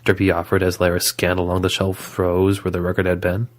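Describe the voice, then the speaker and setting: A man's voice: low-pitched, completely flat delivery, brisk. A man reading scripted story narration as the narrator of an audio drama.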